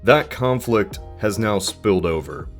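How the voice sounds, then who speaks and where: Low-pitched man narrating an explainer. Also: lively intonation, unhurried, faint music behind. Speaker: video essay narrator.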